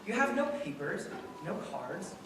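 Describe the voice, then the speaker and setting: A performer acting an ancient, innocent angel from a stage radio play. The delivery is lively and swooping, earnest and gently instructive. It is heard from a distance in a very echoey space, under loud noise. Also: medium pitch, measured pace. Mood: earnest, explaining. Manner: earnest, matter-of-fact explaining.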